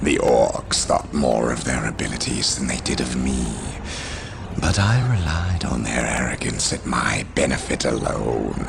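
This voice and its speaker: An actor playing a villainous, gloating sorcerer in a video game, with a gravelly voice and a theatrical, taunting manner. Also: male voice, rumbling low, lively intonation, background noise, exaggerated voice. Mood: smug, scornful. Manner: theatrical, menacing, drawn-out taunting. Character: villainous, gloating, scheming male sorcerer of a dark cult. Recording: loud music under the voice.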